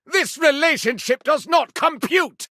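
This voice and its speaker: A man's voice: very high-pitched, unhurried, sharp tone, no room sound, clean studio sound, heavily acted. A male actor voicing a flamboyant, scandalized gossip for a video game, pompous and melodramatic, with flowery archaic diction. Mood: scandalized outrage. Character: flamboyant, scandalized, theatrical gossip decrying improper love affairs. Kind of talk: theatrical video game character voice acting.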